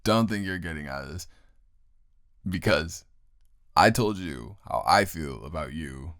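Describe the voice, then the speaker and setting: A man improvising an intimate boyfriend roleplay as a teasing, affectionate roommate, talking in a casual, halting way with a low, gravelly voice. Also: lively intonation, a bit bright, dry recording, clean studio sound. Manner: casual, halting, unscripted delivery. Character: grumpy, teasing, affectionate male roommate turned lover. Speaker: audio roleplay performer.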